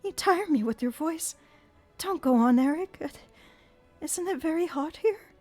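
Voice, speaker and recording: A woman's voice: lively intonation, unhurried, faint music behind. A woman doing a frightened pleading young woman. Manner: pleading, high and gentle.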